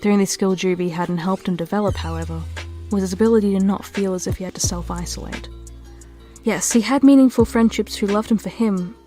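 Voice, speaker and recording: A woman's voice: mid-range voice, a little fast, dark tone, soft background music. A woman reading scripted storytelling narration for an audio roleplay.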